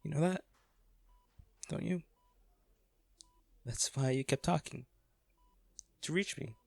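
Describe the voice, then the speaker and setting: A man acting an anguished wealthy businessman in an intimate audio drama, speaking haltingly and emotionally to a comatose lover, with a gravelly voice. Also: a little high, dry recording, exaggerated voice. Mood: wistful, hurt. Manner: halting, emotional, with sighs and repeated words.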